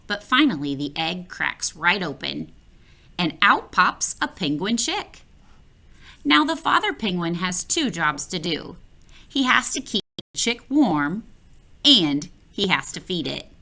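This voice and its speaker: Woman narrator for a kids' picture book, bright voice with some gravel, animated and playful read-aloud. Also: lowish voice. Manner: expressive, playful, addresses the listener directly. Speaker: children's book reader. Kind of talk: read-aloud storytelling for children.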